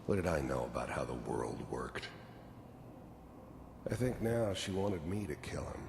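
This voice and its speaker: A man playing a world-weary, gruff middle-aged mercenary in a video game, slowly and broodingly looking back on his boyhood in a gravelly voice. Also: sing-song, exaggerated voice. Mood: bitter regret. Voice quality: low, gravelly. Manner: slow, brooding, confessional. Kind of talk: video game voice-over monologue. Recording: reverberant game audio over noisy ambience.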